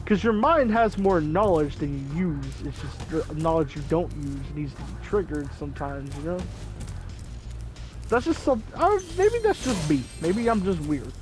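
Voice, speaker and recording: A man's voice: on the high side, sing-song, measured pace, darkish tone. A young man hosting a gaming video, rambling in casual commentary full of "like" fillers. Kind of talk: casual let's-play commentary. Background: faint game music.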